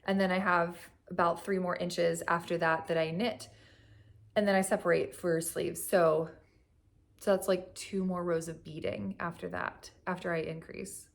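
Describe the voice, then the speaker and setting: A young woman, slightly hoarse from a cough, chatting casually at home about her knitting, rambling with lots of fillers and little laughs. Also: on the low side, flat delivery, moderate pace. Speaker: knitting vlogger. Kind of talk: casual chat. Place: at home on a couch.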